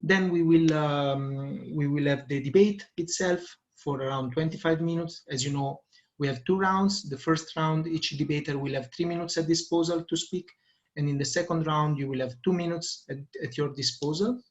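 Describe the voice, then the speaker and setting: A man running an online debate session speaks with a slightly raised pitch. He hesitates often and fills gaps with "uh" as he explains the plan. The audio is dull and lo-fi, as from a video call. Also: normal speed. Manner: hesitant, many fillers. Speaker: debate moderator. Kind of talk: online meeting moderation.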